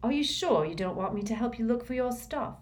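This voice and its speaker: A woman reading an audiobook, voicing a subdued, grieving teenage wizard boy who speaks flatly and hesitantly. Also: a little high, fairly quick, pitched above the performer's own voice, lightly acted. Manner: flat, hesitant, mumbling.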